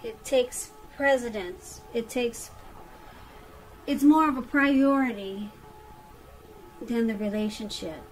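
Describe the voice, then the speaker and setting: A woman's voice: a little high, takes it slowly, long pauses, rough recording. A woman giving a conversational tarot reading straight to the listener, repeating phrases for emphasis. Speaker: tarot reader.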